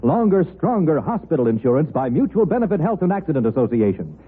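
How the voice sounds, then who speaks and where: A man's voice: a little high, big pitch swings, quick pace, breathy edge. An American man announcing a scripted commercial in the style of 1950s radio. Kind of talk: 1950s radio advertisement read. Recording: tinny, narrow-band 1950s radio recording.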